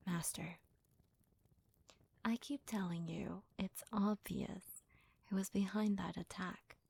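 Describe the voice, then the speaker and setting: A woman acts out a devoted young maidservant in an ASMR roleplay. Her mid-pitched voice is soft and breathy, often slipping into a half-whisper. She speaks slowly and deferentially, doting on her master. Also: full character voice. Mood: insistent, disdainful. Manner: gentle, deferential, hesitant.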